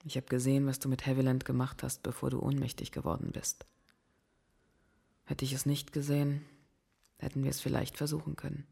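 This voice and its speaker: A wounded but resolute woman in a crime radio play. She speaks with grave, measured delivery, like a survivor carrying a heavy past. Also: very deep voice, monotone, vocal fry, warm tone, husky, full character voice. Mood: resigned.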